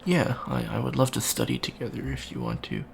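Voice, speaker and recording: A man's voice: mid-range voice, huge pitch swings, a little fast, creaky, heavily acted. A man playing a shy, friendly young avian alien student in an ASMR roleplay, hesitant, stammering and rambling. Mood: shy, eager. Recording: faint background noise.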